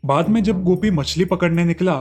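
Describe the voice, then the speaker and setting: A man's voice: high voice, normal speed, darkish tone. A man narrating a scripted fairy tale as a storyteller. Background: light background music.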